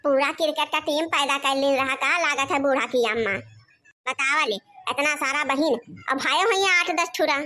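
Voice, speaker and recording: A comic cartoon village woman with a tiny, extremely high voice. The tone is piercing and bright. She grumbles and exclaims in a rustic Awadhi accent. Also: moderate pace. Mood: sarcastic complaining. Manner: complaining, exclamatory. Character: village daughters-in-law and visiting aunts, grumbling, squeaky cartoon women. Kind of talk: comedy cartoon dialogue.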